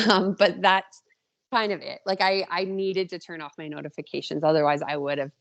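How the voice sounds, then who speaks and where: A woman in her thirties with a voice a little lower than most. She talks in a casual, animated, self-deprecating way, as an author being interviewed in a relaxed podcast chat. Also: normal speed, gravelly. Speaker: podcast guest, indie author.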